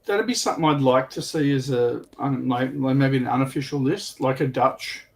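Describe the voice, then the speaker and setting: A man's voice: mid-range voice, moderate pace, gravelly, a little hiss or hum. A man speaking casually as a panelist on a hobby livestream.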